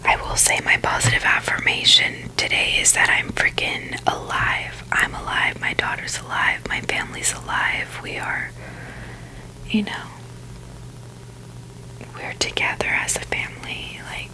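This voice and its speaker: A woman's voice: a little slow, poor audio. A woman whispering breathily in a rambling, informal ASMR chat, hesitant and full of "like" and "you know". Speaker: ASMR video creator.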